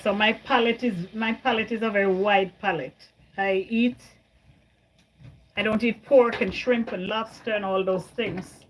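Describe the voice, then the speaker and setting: Slow-talking chatty woman from Jamaica. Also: medium pitch, fairly even intonation, poor audio. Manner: chatty, repeats her words, answers viewer comments. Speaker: livestream host. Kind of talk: casual livestream chat with viewers.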